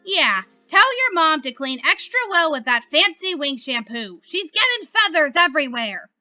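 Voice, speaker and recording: A woman's voice: sits high, very animated, normal speed, bright, forceful tone, lo-fi sound, faint music behind, voice pushed up, exaggerated voice. A woman voicing a brusque, dismissive female receptionist in a storybook reading. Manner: curt, dismissive. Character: brusque, gossipy female retirement home receptionist.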